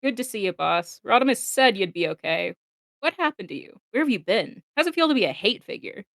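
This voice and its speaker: A woman's voice: mid-range voice, very animated, brisk, creaky, a bit bright, no echo, clean studio sound. A woman voicing a cheeky, casual robot soldier in an amateur comic dub, teasing and informal. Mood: breezy.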